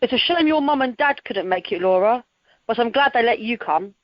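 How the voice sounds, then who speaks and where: A woman in an amateur radio play, playing a young holidaymaker who is friendly and gently teasing. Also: fairly high pitch, lively intonation, brisk, dry recording, close to an everyday voice. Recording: dull telephone conference line.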